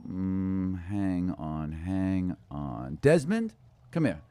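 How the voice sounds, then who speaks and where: A man's voice: sits low, very animated, very slow, mellow, smooth, no room sound, full character voice. A man voicing a courteous woman who greets guests at a tavern door in a tabletop game. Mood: welcoming.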